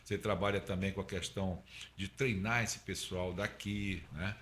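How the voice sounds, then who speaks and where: Deep-voiced podcast host from the north. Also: male voice, fairly even intonation, moderate pace, fairly bright tone, slight background noise. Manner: affirming, thanks and sums up the guest. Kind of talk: interview hosting.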